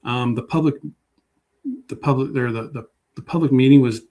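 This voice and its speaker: A man's voice: medium pitch, normal speed, dark tone. A man speaking informally as a guest historian, hesitant and often rephrasing as he searches for words. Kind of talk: informal talk and question answering.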